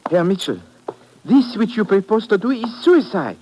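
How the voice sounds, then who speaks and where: An actor playing a wary, grave village shopkeeper and guide with a Central European accent and formal, deliberate phrasing, in old radio drama style. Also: male voice, high-pitched, very animated. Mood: grave, cautioning. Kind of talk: 1950s radio adventure acting. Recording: noisy vintage radio recording.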